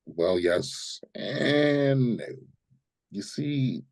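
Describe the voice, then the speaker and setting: A man playing a cryptic, patient guide in a live screenplay reading, with a husky voice. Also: medium pitch, animated, extremely slow, a little mellow. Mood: evasive. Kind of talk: live screenplay table read acting.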